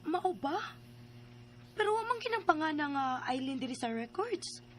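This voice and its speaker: A woman's voice: sits high, big pitch swings, normal speed, a bit bright. A woman playing an outspoken, matter-of-fact female figure in a radio drama.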